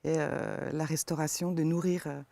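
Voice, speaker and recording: Soft-spoken woman with eastern French accent. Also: low-pitched, steady tone, normal speed, vocal fry, dry recording. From eastern France. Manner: soft-spoken, hesitant with many fillers. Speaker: forest therapy guide. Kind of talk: interview answers.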